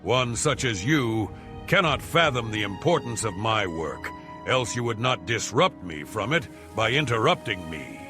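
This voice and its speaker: A man's voice: fairly low pitch, big pitch swings, unhurried, over music. A man playing a pompous, irritable and shrewd archaeologist in a video game, speaking in a haughty, wordy, formal way. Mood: haughty, annoyed. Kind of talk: video game character dialogue.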